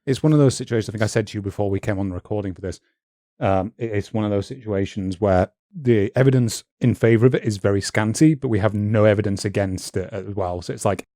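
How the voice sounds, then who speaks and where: Low-voiced man chatting loosely. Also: fast, dark tone, no echo, clean studio sound. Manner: thinks aloud in loose, hesitant chat with fillers. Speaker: podcast co-host. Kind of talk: casual chat.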